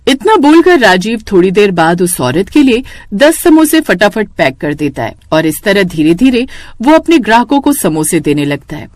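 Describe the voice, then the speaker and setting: A woman's voice: mid-range voice, sing-song, moderate pace, dry recording. A woman narrating a scripted cartoon moral story as a storyteller.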